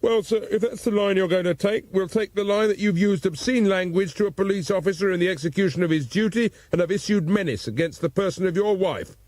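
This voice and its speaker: A man's voice: high voice, brisk, hardly any pauses, a little mellow, dry recording, voice pushed up, lightly acted. A man voicing a formal, officious police sergeant.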